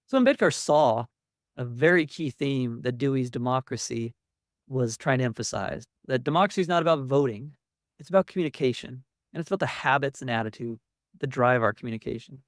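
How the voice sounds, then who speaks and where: A male academic delivers a lecture in a mid-pitched voice. He explains ideas conversationally, stressing key words as he goes. The sound is dry, with very little room on it. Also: animated, fairly quick, no echo. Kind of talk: public academic lecture with slides.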